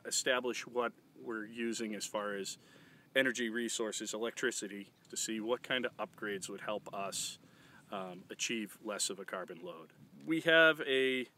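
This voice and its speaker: Bright-toned farmer talking outdoors. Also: male voice, lowish voice. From Massachusetts. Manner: plain, matter-of-fact, with frequent fillers. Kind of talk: casual interview talk. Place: outdoors in a farm field.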